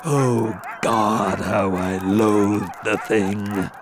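An actor playing a haunted gold prospector who recites a grisly Arctic horror poem in a theatrical way, swinging between hushed dread and cries. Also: male voice, lowish voice, fairly even intonation, extremely slow, a crowd in the background. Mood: tormented, wild. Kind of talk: dramatic horror poetry recitation over music.

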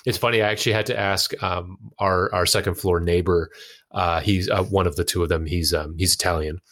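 Low voiced american guy chatting in an interview, rambly, lots of likes and you knows. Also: steady tone, fairly quick, fairly bright tone. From United States. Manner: informal, hesitant phrasing with frequent "like" and "you know". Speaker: interview guest, actor and musician. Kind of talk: casual conversational interview.

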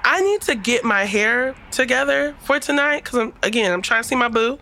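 Sassy, bright-voiced young woman, animated. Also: on the high side, brisk. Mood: chatty. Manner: slangy, teasing, expressive. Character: sassy, guarded but soft-hearted young woman, reluctant helper. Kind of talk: audio drama acting.

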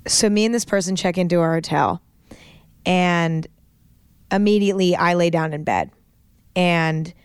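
A young woman hosting a podcast with a raspy voice, telling stories in a chatty, rambling way. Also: fairly low pitch, dry recording, clean studio sound. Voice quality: raspy today. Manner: chatty, rambling with lots of likes. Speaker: podcast host. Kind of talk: casual storytelling monologue.

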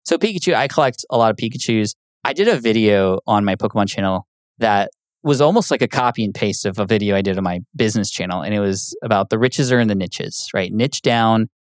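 Man, podcast guest, low-ish voice, dry close sound, earnest, patient, casual chat. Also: lively intonation, brisk, no room sound. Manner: earnest, explains patiently with many fillers. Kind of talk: casual interview talk.

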